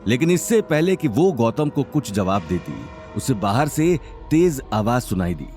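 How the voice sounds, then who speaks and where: A man's voice: medium pitch, big pitch swings, measured pace, darkish tone, faint music behind. A man working as narrator, reading a scripted dramatic story.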